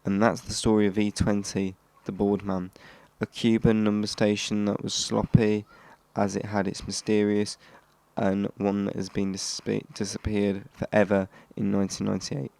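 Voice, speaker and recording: A man's voice: on the low side, completely flat delivery, moderate pace, gravelly, darkish tone. A man hosting a hobby explainer, reading scripted narration and now and then stumbling over his words. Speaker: host of a radio hobby channel.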